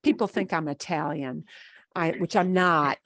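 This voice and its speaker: A woman teaching a class over a video call, with a Midwestern American accent. She talks slowly and patiently, pausing on fillers and restarting her sentences. Her voice sounds dry and close. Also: low-pitched, a bit bright, no room sound. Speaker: Spanish teacher. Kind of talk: online class lesson.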